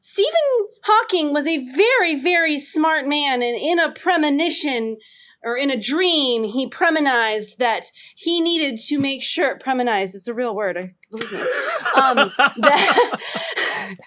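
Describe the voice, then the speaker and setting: A woman's voice: high-pitched, animated, a little slow, a bit bright. A woman improvising a comedic answer on a game podcast, giggly and playful, fumbling words. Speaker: podcast contestant.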